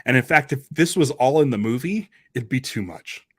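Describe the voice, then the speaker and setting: A man's voice: mid-range voice, expressive, moderate pace, a bit bright, breathy edge. A man chatting informally as a podcast panelist, talking in a loose, conversational way. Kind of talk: casual chat about a radio drama.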